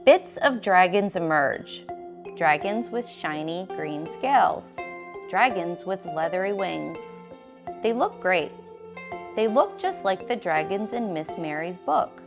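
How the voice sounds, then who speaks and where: A woman reads a children's story as narrator. Her voice sits at a middle pitch with lively intonation and an unhurried pace. Music plays clearly behind her. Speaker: guest story reader.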